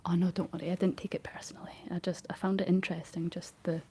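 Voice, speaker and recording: A Scottish woman in a breathy, husky whisper, chatting and rambling through an ASMR video. Her voice sits low and mellow, with a flat, even melody and soft giggles along the way. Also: quick pace. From Scotland. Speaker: ASMR creator.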